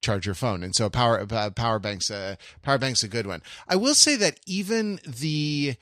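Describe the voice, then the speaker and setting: Male podcast co-host, conversational and halting, medium pitch, recorded dry with no room sound. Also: sing-song, a bit bright, no echo. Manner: halting, circling toward his point. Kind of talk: casual podcast chat.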